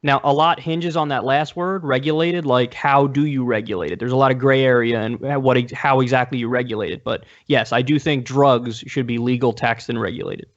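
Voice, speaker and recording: A man's voice: medium pitch, fairly even intonation, a little fast, hardly any pauses, dull audio. A young man debating politics in an emphatic, profane way, repeating phrases for stress. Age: young adult. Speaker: debate guest. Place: indoor room, video call.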